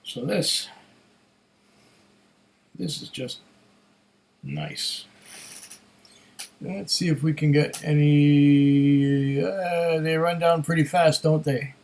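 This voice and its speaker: A man with a fairly high voice and a touch of hoarseness talks through a casual whisky review on his own. He takes his time, musing aloud and savoring as he goes, often as if chatting to himself. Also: steady tone, very slow, pauses often, a little mellow. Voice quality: slightly hoarse. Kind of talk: casual solo tasting review.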